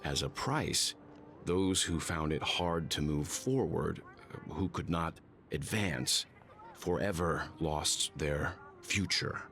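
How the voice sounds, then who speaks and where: A man's voice: a bass voice, slow, fairly bright tone, a little hiss or hum, exaggerated voice. An actor playing a wise, world-weary traveler in a game, telling his story in a grave and thoughtful way. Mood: solemn. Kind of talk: scripted game dialogue, solemn storytelling.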